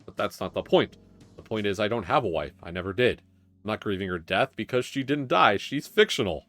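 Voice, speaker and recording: A man's voice: fairly low pitch, lively intonation, a little fast, fairly bright tone, soft background music. A man voicing an earnest, exasperated dog politician in a visual novel, indignant and complaining.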